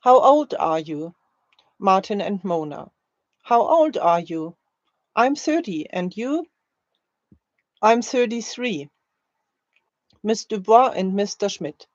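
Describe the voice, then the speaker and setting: A woman teaching a language, reading scripted drill phrases in a deliberate, carefully spaced way. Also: mid-range voice, expressive, no echo, muffled recording. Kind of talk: slow, scripted language-learning drill, read aloud.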